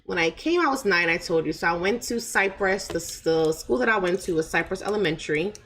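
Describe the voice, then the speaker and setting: A woman's voice: on the low side, animated, a little fast, fairly bright tone, slight background noise. A woman from Jamaica with a light Jamaican accent, telling viewers her own story in a chatty, wandering way and often checking in with "right?". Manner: chatty, digressive, keeps asking "right?". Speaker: channel host.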